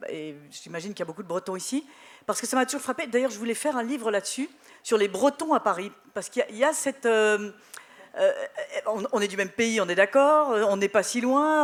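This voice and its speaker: A woman from Belgium, a journalist guest on a festival panel, telling animated anecdotes with wry humor. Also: medium pitch. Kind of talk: live panel conversation.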